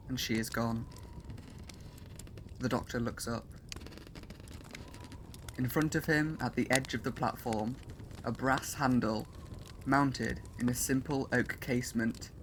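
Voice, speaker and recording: A man narrating a dramatic science-fiction story, measured and building to loud, intense passages. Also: mid-range voice, slight background noise, rough recording.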